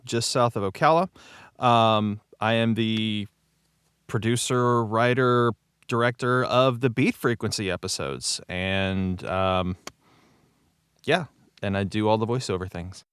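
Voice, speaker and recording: A man from the United States, a producer and voice actor, introducing himself casually in a measured, understated way. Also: medium pitch, fairly bright tone, clean tone, no room sound, studio quality.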